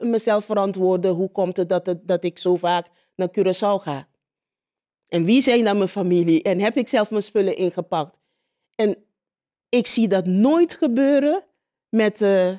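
Woman being interviewed, normal pitch, talking off the cuff, keeps searching for words and repeating. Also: warm tone. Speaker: interviewee, local politician. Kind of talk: spontaneous personal account in interview.

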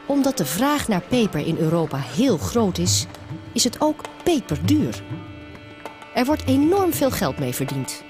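A woman with a Netherlands Dutch accent narrates a scripted educational film for schools. Her intonation swings very widely from high to low. Faint music sits behind her. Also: sing-song, measured pace, a little mellow.

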